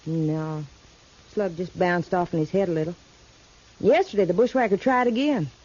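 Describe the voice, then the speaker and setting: A woman's voice: lowish voice, normal speed, a little mellow, lightly acted. An actress playing a stubborn, sassy young mountain girl with an American Southern mountain accent in a 1950s radio western. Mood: blunt, determined. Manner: blunt, sassy, backwoods. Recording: muffled vintage radio recording.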